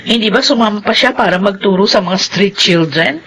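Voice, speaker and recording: A loving middle-aged Filipina mother in a radio drama, voiced by a woman. Her medium-pitched voice is heartfelt and supportive, with light noise in the recording. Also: a little fast, fairly bright tone, natural voice, rough recording. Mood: pleased, fond.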